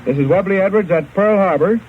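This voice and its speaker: Through a narrow, crackly old radio transmission comes an American man with a fairly high voice. He reads scripted war news in a formal, measured 1940s correspondent style. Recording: noisy, narrow-band 1940s shortwave radio recording.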